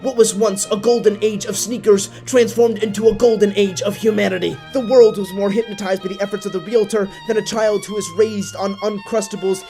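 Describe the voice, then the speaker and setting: Higher-voiced man narrating theatrically over music. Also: steady tone, a little fast, hardly any pauses, warm tone. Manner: theatrical, solemn, literary delivery. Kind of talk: scripted dramatic storytelling for a puppet show.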